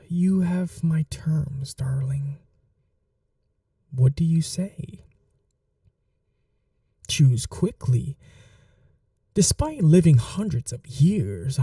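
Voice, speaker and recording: Male actor as ancient seductive vampire master, sadistic and possessive, hushed ASMR roleplay, extremely mellow dark tone, unhurried drawn-out delivery, formal endearments. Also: on the high side, vocal fry, dry recording, studio quality, far from the mic, exaggerated voice. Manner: slow, hushed, dominant, formal endearments, often whispering. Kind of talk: intimate ASMR roleplay, scripted monologue.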